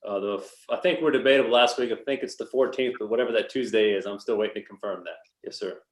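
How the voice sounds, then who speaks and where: Low voiced guy on a work call, agreeable, deferential, really echoey room. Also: quick pace. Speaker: project presenter. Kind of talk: informal business meeting remarks.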